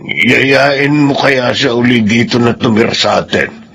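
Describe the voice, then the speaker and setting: An actor playing a sympathetic husband who speaks in an indignant, practical way in a radio drama. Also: male voice, mid-range voice, measured pace, creaky, bright, forceful tone, slightly rough, full character voice. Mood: concerned.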